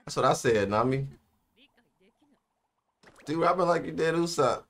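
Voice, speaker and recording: A man's voice: on the high side, lively intonation, measured pace, creaky, fairly bright tone. A young American man making short, slangy, exclamatory remarks as casual reaction commentary. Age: young adult. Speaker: anime reaction show host. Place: small indoor room. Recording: music in the background. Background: anime episode music.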